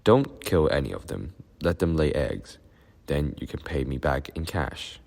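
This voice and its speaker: A man's voice: extremely low pitch, sing-song, a little slow, vocal fry, a little mellow, big-room sound, exaggerated voice. A man voicing an easygoing father who answers with amusement. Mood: amused.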